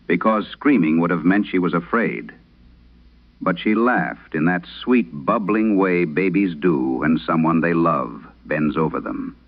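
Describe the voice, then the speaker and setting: A man performing as a late-night radio host, solemnly reading an eerie letter in measured, deliberate phrases through an old radio filter, in a vintage horror drama style. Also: low-pitched, full character voice. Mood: grave, haunted. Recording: tinny band-limited old radio sound.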